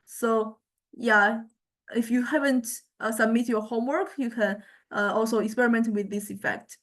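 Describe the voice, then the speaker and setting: A woman lecturer giving an informal software demonstration, thinking aloud in a halting way as she goes. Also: on the high side, fairly even intonation. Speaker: music lecturer.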